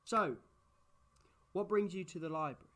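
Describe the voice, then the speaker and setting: A man's voice: sits high, normal speed, pushed and bright. A man playing an eccentric, playful time-travelling alien in a fan audio drama, rambling and boastful with whimsy. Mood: thoughtful. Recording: noisy track with background ambience.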